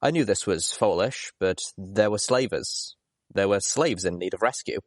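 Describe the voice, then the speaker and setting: A man's voice: on the low side, moderate pace, dry recording, clean studio sound. A man reading first-person story narration as an audiobook narrator. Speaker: audiobook reader.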